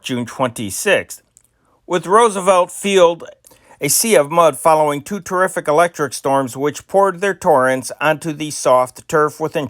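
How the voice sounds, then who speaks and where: A man's voice: on the high side, no room sound, clean studio sound. A man reading old newspaper stories aloud in an amateur style, sometimes stumbling and restarting words. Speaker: news reader.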